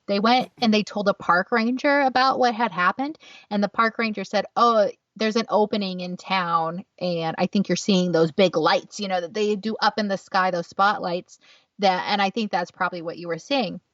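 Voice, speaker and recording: A woman's voice: mid-range voice, moderate pace, a bit bright, dry recording. A woman co-hosting a podcast, telling stories in a chatty casual way with lots of fillers. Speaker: podcast co-host. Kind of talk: casual chat. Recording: dull, distant, dry sound.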